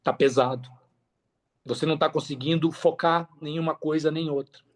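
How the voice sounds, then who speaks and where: A man's voice: on the high side, level tone, brisk. A Brazilian man telling his story as a podcast guest in an expansive, slangy way, repeating himself for emphasis. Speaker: podcast guest, affiliate marketer. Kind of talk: casual interview storytelling.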